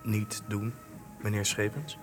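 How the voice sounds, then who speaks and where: An actor playing a polite but insistent documentary interviewer in a radio drama, speaking formally and with care. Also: male voice, on the low side, flat delivery, slow pace. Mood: uneasy, guarded. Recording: long hall reverb, faint music.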